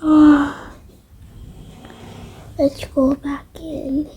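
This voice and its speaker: A woman's voice: sits high, little pitch movement, very slow, warm tone, muffled recording. A female video creator improvising a roleplay aloud, often dropping into hesitant, half-whispered asides to herself. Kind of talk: improvised game roleplay narration. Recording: faint background noise.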